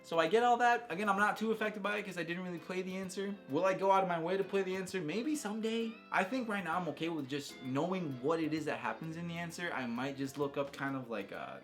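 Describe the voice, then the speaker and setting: A man's voice: high-pitched, fast talker, few pauses, a bit bright. Enthusiastic casual man over background music. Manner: enthusiastic, informal and slangy. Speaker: video game reviewer and host. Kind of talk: casual rambling game commentary.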